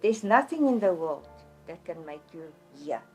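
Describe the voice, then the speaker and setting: A woman's voice: fairly low pitch, sing-song, moderate pace, breathy edge, soft background music. A middle-aged woman from South Africa with an Afrikaans accent, telling her own story in an interview in an earnest, plainspoken way, often restarting words. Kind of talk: personal testimony in an interview.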